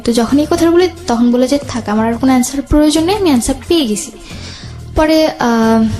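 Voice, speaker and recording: High-pitched young woman telling her story. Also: warm tone. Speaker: guest telling own life story. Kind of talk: personal storytelling in interview. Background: background music.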